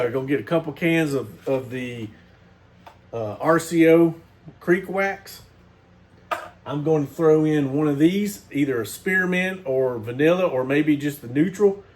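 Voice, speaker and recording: A man, hosting a casual knife video and rambling to his viewers with lots of fillers and restarts. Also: on the high side. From Missouri, USA. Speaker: knife channel host.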